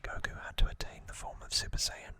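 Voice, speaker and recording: Whispering male ASMR host, crisp and bright. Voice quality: soft unvoiced whisper. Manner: informal, halting, self-correcting explaining. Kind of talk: ASMR whispered trivia recap.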